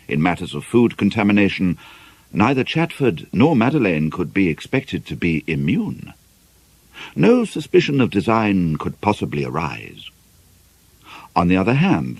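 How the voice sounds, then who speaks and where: A man narrating a novel as an audiobook, reading in a measured, expressive way with deliberate emphasis. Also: lowish voice, slightly rough, no room sound.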